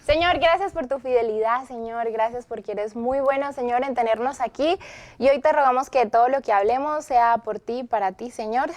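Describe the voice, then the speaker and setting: A young Colombian woman from Arauca, with a voice pitched a little high. She talks in a chatty, cheerful way and laughs easily. She first prays, then chats casually as a podcast guest. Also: moderate pace, a little mellow. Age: 20s. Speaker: podcast guest, former seminary student. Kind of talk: opening prayer, then casual interview chat.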